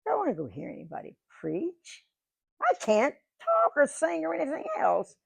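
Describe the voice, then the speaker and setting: A woman's voice: high-pitched, huge pitch swings, normal speed, gravelly, dry recording. A woman in her nineties telling folksy stories of her life to a live audience, chatting and acting out what people said. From Georgia, USA. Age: 90s. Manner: folksy, chatty storyteller who acts out quoted dialogue. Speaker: guest speaker. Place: museum event room.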